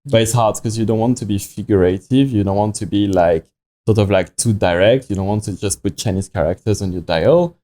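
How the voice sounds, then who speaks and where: A young man from France with a French accent, a watch company founder talking in a podcast interview, halting and full of restarts and fillers. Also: on the low side, dark tone.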